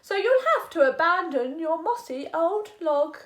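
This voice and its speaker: A woman's voice: extremely high pitch, expressive, measured pace, fairly bright tone, full character voice. A woman voicing a muddy, self-satisfied hog in a storybook, speaking in sniffy, relishing rhyme. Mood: smug regretful.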